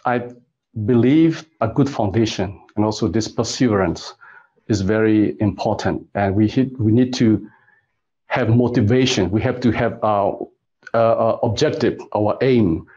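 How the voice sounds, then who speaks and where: A middle-aged man from Burma, answering interview questions in a halting, gentle way as he searches for his words. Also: lowish voice, slightly rough, lo-fi sound. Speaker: guest expert.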